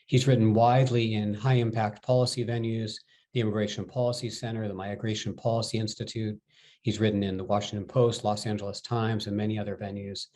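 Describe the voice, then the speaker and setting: A man in a measured way introducing speakers and moderating a webinar over a video call. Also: on the low side, flat delivery, darkish tone. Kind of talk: formal introduction.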